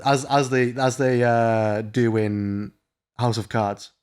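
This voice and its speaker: A man's voice: mid-range voice, steady tone, slow pace, a little mellow, clear, resonant tone. A man giving casual, joking game commentary and reading dialogue aloud, sweary and mocking. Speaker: host of a comedy let's play. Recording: music behind the voice. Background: music.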